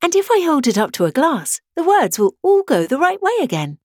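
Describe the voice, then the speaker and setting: Woman as a curious, high-voiced young girl. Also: sing-song, fairly quick, a little mellow, no echo, clean studio sound. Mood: delighted realisation. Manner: eager, wondering. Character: curious, bright young girl puzzling things out.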